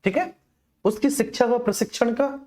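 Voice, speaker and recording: A man's voice: very high-pitched, lively intonation, moderate pace, a little mellow, slight background noise. A man teaching an exam coaching class informally, explaining repetitively and addressing his students directly.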